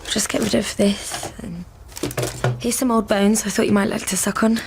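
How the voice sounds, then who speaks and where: A woman acting a tender, anxious young daughter, hushed. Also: mid-range voice, fairly even intonation, moderate pace, background noise, natural voice. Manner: breathy whispers, hesitant pleading. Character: tender, anxious daughter pleading for her brother. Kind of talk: radio drama acting.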